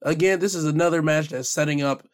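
A man hosting a podcast, giving a casual, rambling recap of the week's wrestling with a hoarse voice. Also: on the high side, quick pace. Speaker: podcast host.

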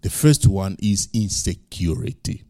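A male pastor preaching in English, which is not his first language, building to loud emphatic peaks and repeating lines for effect. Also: sits low, expressive, measured pace, no echo. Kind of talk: sermon.

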